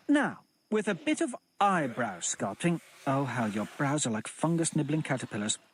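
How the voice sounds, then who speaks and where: Man doing a fussy tutor, prim, haughty, high voice, lilting scolds.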